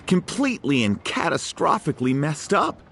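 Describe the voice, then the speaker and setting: Ruined game merchant: high, very animated voice, plaintive, dramatic, rueful. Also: male voice, moderate pace, gravelly, a little hiss or hum, exaggerated voice. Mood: despairing. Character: rueful, despairing bankrupt merchant, humbled and dramatic. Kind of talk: video game voice acting.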